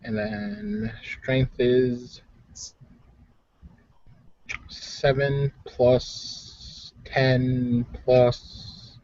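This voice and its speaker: A man's voice: medium pitch, very slow, vocal fry, pauses often, a little mellow, dry recording. A man bantering casually and wryly as a player in a tabletop roleplaying game over online voice chat. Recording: dull, dry lo-fi voice chat.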